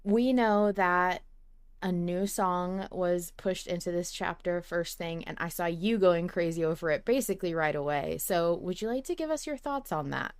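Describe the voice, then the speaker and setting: A woman's voice: lowish voice, normal speed, clear, resonant tone. A woman hosting a casual fan podcast, effusive and informal in the way she talks. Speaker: podcast host.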